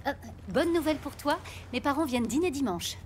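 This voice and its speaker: An actress playing a policeman's exasperated, sharp-tongued wife in a French audio drama, dry and scolding. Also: high voice, animated, a little fast. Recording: noisy ambience behind the voice.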